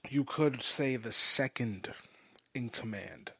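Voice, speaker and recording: Guy in his 20s doing a confessional solo podcast, kinda halting, repeats himself, dry room. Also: medium pitch, pushed and bright, slightly rough, dry recording. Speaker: podcast host. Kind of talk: personal monologue, confessional storytelling.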